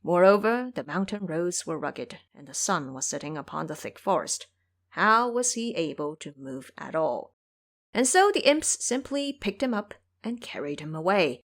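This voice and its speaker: A woman's voice: lowish voice, sing-song, moderate pace. A woman narrating a scripted audio drama as a dramatic storyteller.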